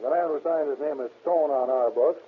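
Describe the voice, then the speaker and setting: An actor playing a dutiful police handwriting expert in a 1930s radio crime drama, precise and deferential. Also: male voice, a little high, brisk, husky. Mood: businesslike. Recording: muffled old radio recording, narrow band.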